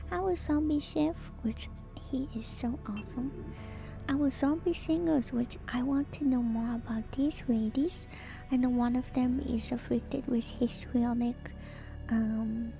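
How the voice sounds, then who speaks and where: Woman, soft and whispery, fairly high pitch, creaky edges, hesitant musing, ASMR game commentary, music behind. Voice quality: soft, breathy, often whispered. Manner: hesitant, musing, pausing often to think. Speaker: streamer, virtual YouTuber host. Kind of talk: ASMR lore commentary on a horror video game.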